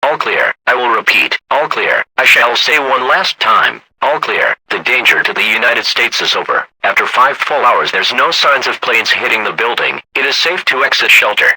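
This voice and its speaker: A man delivers scripted emergency alert announcements. His voice is very bright and forceful, with a husky edge, in a very dry recording. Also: medium pitch, dry recording, low-quality recording.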